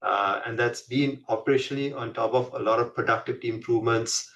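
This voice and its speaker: A man presenting on an earnings call as a company executive, explaining in a measured corporate way. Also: mid-range voice, a bit bright, distant mic.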